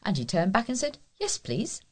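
A woman's voice: medium pitch, very animated, moderate pace. A woman volunteer reading a local news feature aloud. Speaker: volunteer newspaper reader.

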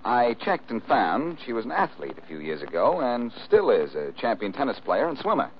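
Smart-aleck 1940s radio detective, american, lively delivery, dull hissy old recording. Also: male voice, mid-range voice, normal speed. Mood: confident, sardonic. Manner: glib, teasing, self-assured. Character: wisecracking, cool-headed amateur detective man, quick and sardonic. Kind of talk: 1940s radio mystery acting.

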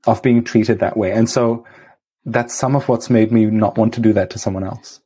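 A young adult man, a doctor talking in a measured, thoughtful way in a casual chat. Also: lowish voice, fairly even intonation, breathy edge. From India. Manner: thoughtful, measured, soft laughs. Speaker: doctor, podcast guest.

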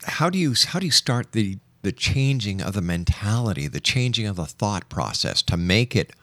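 A man hosting a radio interview show, speaking in a measured, emphatic way with deliberate pauses. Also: lowish voice, big pitch swings, fairly bright tone, no echo. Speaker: radio talk show host.

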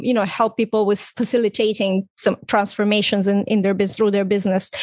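A middle-aged woman from Estonia whose first language is Russian talks in a fairly high voice. She sounds close and dry, chatting as a marketing strategist being interviewed. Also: no room sound. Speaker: guest, online visibility strategist and coach. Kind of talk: casual conversational interview.

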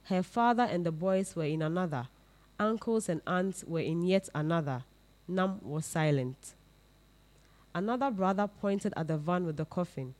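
Woman, slightly low pitch, scripted literary narration, storytelling read aloud. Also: animated, normal speed, darkish tone. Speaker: reader.

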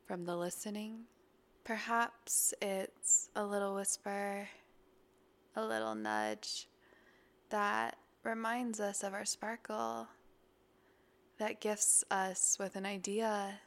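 A slightly congested woman podcast host speaking a guided meditation in a gentle, soothing, breathy voice that often drops toward a whisper. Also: mid-range voice. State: a little congested. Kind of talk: guided meditation, then casual podcast talk.

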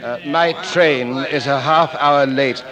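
An actor in a 1940s radio drama playing a dignified, deferential English manservant, speaking formally and politely with some hesitation in an English accent. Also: male voice, medium pitch, slow, background noise, natural voice. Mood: formal, apologetic.

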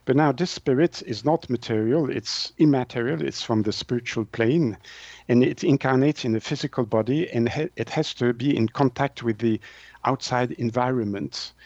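Halting man with a French-speaking Swiss accent. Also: mid-range voice, a little mellow, no echo. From Switzerland. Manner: halting, searching for words, self-correcting. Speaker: guest, naturopath and author. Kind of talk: explanatory interview answers. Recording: muffled, dull lo-fi audio.

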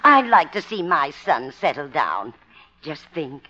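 A woman's voice: deep voice, sing-song, measured pace, bright, forceful tone, exaggerated voice. An actress playing a doting, nudging older mother of a grown son in a 1950s radio comedy. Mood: hopeful, nudging. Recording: dull old radio recording.

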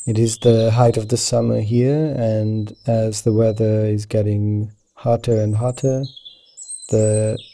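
Male meditation guide, very mellow and dark with creaky low edges, gentle and soothing delivery. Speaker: meditation teacher guiding yoga nidra.

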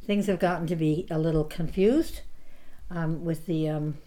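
A woman's voice: sits low, fairly even intonation, moderate pace, dark tone. An older woman from New York with a slight New York accent, hosting a casual radio chat with a laughing, self-mocking manner. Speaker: host.

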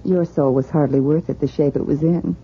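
A woman's voice: deep voice, steady tone, a little fast, exaggerated voice. A woman playing the devil as a cool, taunting dealmaker in a 1980s radio drama. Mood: mocking. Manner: smooth, taunting, businesslike. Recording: dull lo-fi radio recording with music.

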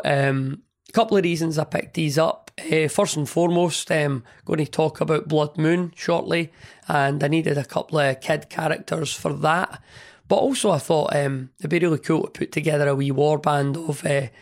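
A man hosting a hobby podcast, chatting in a rambling, enthusiastic way with a hoarse, partly lost voice from a cold. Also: a little high. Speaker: podcast host. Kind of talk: casual hobby podcast monologue.

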